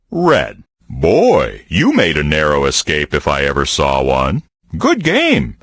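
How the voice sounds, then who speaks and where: Deep husky grizzly bear for a board game, folksy and lazy, voice swoops all over the place, dry close sound. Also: male voice, a bit bright, no room sound, full character voice. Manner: folksy, drawling, jokey asides. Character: folksy good-natured grizzly bear, male, big and lazy. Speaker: game character voice. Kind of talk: game voice lines.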